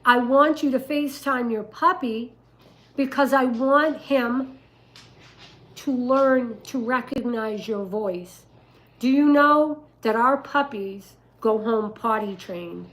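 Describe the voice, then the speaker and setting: Older woman, rough-edged voice, slightly high pitch, unhurried pace, emphatic, casual livestream storytelling. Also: fairly bright tone, poor audio. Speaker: dog breeder and livestream host.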